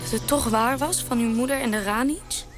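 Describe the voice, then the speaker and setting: An actress playing the queen's young granddaughter in a radio drama, speaking in a halting, stammering way. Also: fairly high pitch, over music, lightly acted. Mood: shocked.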